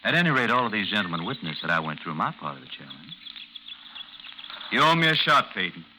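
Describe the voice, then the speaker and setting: A man acting in a 1950s radio drama as a fearless, nonchalant gentleman duelist who speaks with sardonic courtesy. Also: fairly low pitch, sing-song. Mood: breezily mocking. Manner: sardonic, unhurried, mock-courteous. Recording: noisy 1950s radio broadcast recording.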